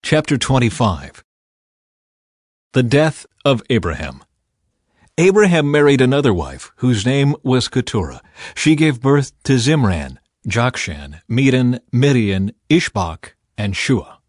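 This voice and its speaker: A man reading scripture as a scripted audiobook narration, with measured, dramatized storytelling delivery. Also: mid-range voice, big pitch swings, dry recording, studio quality. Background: background music.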